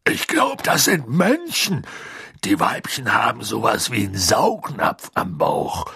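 A man voicing a gruff, proud giant gamekeeper who talks in halting, plain speech. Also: medium pitch, wildly swooping pitch, pushed and bright, no room sound, heavily acted.

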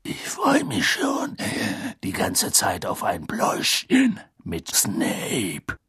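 A gruff old wizard teacher from a fantasy audiobook. He growls in a husky voice and drags his words out slowly. His delivery swoops widely, menacing yet unbothered. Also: low-pitched, wildly swooping pitch, fairly bright tone, no room sound, studio quality, full character voice. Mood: menacing, growling.

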